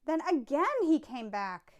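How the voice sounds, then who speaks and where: A woman reads a children's storybook aloud. Her voice is high, and she takes her time, shaping the rhymes expressively like a teacher before a young class. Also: lively intonation, unhurried.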